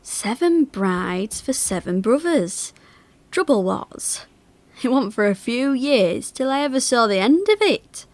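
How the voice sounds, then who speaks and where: A woman's voice: fairly high pitch, very animated, slow pace, darkish tone, no echo. A woman playing an older working-class factory worker in a confiding, wry monologue of fond reminiscence. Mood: fond, amused. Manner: chatty, confiding, wry, with dialect turns. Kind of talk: theatrical monologue, conversational reminiscence.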